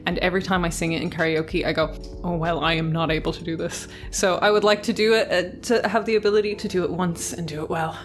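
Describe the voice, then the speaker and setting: A woman with a fairly low voice chatting on a podcast, animated and giggly as she tells stories. Faint music sits behind her. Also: fast talker. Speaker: podcast co-host. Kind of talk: casual chat.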